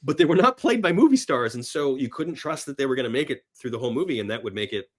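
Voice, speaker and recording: A man chatting casually and enthusiastically as a podcast guest about films, halting and rambling, sometimes voicing imagined reactions. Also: medium pitch, expressive. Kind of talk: casual film talk in an interview.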